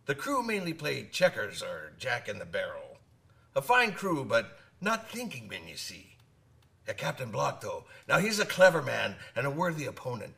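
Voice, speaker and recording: A man's voice: medium pitch, creaky, pushed and bright, exaggerated voice. An actor in a radio drama playing a hearty old one-eyed sailor who spins boastful yarns in folksy nautical talk, repeating his phrases. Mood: sly, hearty. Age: older.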